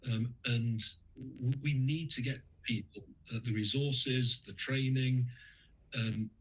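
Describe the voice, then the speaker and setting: A man's voice: medium pitch, steady tone, slow pace, highs cut off. A man from the UK speaking as a guest on a radio interview, talking in a hesitant way with many fillers and restarts.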